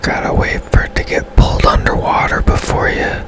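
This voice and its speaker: A man whispering softly and casually as he gives rambling, unhurried commentary on a video game for ASMR. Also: music in the background. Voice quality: soft unvoiced whisper. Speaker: game streamer.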